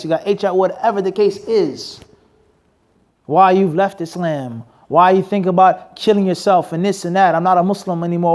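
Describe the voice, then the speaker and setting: High-pitched male teacher, punchy rhetorical style, mosque talk. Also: darkish tone. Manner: emphatic, asks rhetorical questions to the crowd. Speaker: Islamic teacher. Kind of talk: lecture with Q&A.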